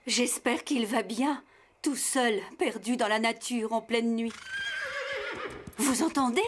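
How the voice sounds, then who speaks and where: A woman's voice: a little high, slow, noisy background. A woman voicing a caring, sensible horse in a children's cartoon. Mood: worried. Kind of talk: French cartoon dub acting.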